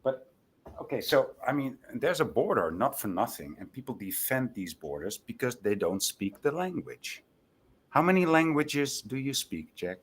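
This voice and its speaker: A man's voice: mid-range voice, big pitch swings, moderate pace, breathy edge. A man whose first language is Dutch, arguing insistently in an informal live debate. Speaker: debate panelist.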